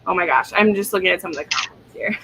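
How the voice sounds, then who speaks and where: A woman's voice: fairly low pitch, fast, pushed and bright. Female livestream host, gushing and slangy, teasing friends on a slightly noisy call. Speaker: host of a romance readalong livestream. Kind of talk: casual group chat.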